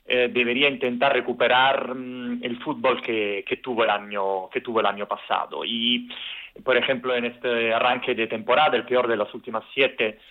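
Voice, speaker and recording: A man's voice: mid-range voice, flat delivery, moderate pace. Male correspondent over a phone line. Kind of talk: phone interview.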